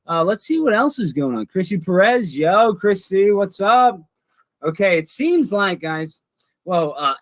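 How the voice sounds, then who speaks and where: A man's voice: high voice, fairly bright tone, no echo. A man hosting a livestream, chatting with his viewers in a goofy, rambling way. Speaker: livestream host. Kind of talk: casual livestream chat.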